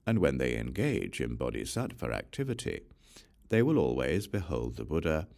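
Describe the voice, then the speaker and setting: Very deep-voiced man reading scripture. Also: very animated, moderate pace, gravelly, a little mellow. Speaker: audiobook reader.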